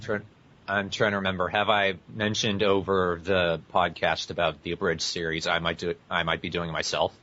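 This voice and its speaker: A man's voice: low voice, flat delivery, moderate pace, bright, forceful tone, slight background noise. A man co-hosting a podcast, talking casually with friends. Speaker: podcast co-host. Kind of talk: casual group chat. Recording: muffled lo-fi audio.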